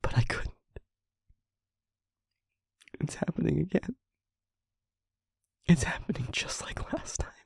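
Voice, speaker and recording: A man playing a tormented, remorseful demon in love, in an intimate audio roleplay, speaking haltingly in a breathy, husky, often whispered voice and pleading. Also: fairly high pitch, lively intonation, dry recording, clean studio sound, full character voice. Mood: tender, sorrowful. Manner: slow, halting, emotionally pleading.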